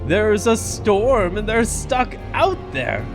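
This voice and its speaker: A man's voice: high-pitched, very animated, full character voice. A man playing an anxious, angry park owner in an audio drama, speaking emphatically over music. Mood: worried, demanding. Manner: slow, emphatic, agitated delivery. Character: anxious, angry older male park owner, a grandfather figure.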